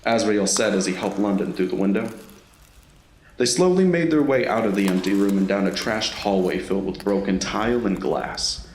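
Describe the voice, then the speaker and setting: A man's voice: fairly low pitch, moderate pace, a little hiss or hum. A man reading scripted narration for an audio drama story. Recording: roomy, echoing voice recording.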